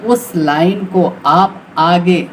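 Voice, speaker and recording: A man's voice: high voice, drawn-out words. A man with an Indian accent giving an informal, explanatory beginners' lesson as a trading tutor, often checking in with short confirmations. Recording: clearly noisy recording with background ambience.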